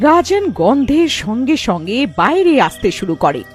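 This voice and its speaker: A woman's voice: fairly high pitch, big pitch swings, moderate pace, breathy edge, faint music behind. A woman narrating a cartoon story in a scripted storytelling style.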